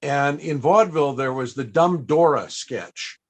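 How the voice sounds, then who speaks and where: A man hosting a panel, telling stories conversationally to an audience, with halting, searching pauses. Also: a little high. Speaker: panel host and interviewer.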